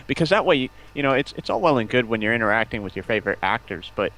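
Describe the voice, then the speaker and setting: A man chatting casually on a stream, halting and often repeating his words. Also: mid-range voice, sing-song, fairly bright tone, low-quality recording.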